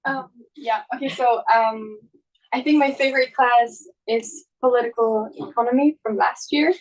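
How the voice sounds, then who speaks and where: A young woman from Slovakia giving unscripted answers as a student on a panel, often pausing mid-phrase. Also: a little high, fairly even intonation, low-quality recording. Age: young adult.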